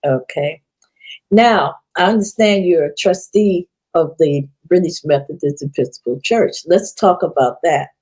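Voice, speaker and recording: A woman hosts an interview, putting questions to her guest in a halting, restarting way. Her voice sits fairly low. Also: a little mellow, husky, poor audio. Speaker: interview host.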